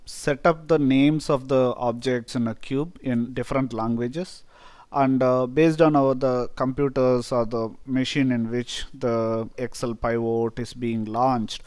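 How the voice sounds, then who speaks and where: A man from India, a Tamil native speaker with an Indian accent, explaining a software feature step by step with many "uh" fillers. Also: medium pitch, smooth, dry recording. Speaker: software tutorial presenter. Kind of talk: screen-recorded software tutorial.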